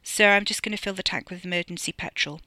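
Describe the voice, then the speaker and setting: A narrator performs a meek young chauffeur in an audiobook. He is anxious, deferential and apologetic, with a tense, bright voice, recorded close and dry. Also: medium pitch, quick pace, no echo, close to an everyday voice. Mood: nervous, polite. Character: anxious, meek, polite young male driver.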